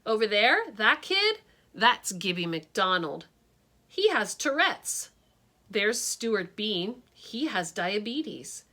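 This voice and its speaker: A gawky, eager schoolboy chatters in a high, bouncing storybook voice, as a woman puts him on. His pitch leaps around as he points things out, lively and friendly. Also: slow, exaggerated voice. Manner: chatty, excited, raised pitch. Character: gawky eager boy with big glasses.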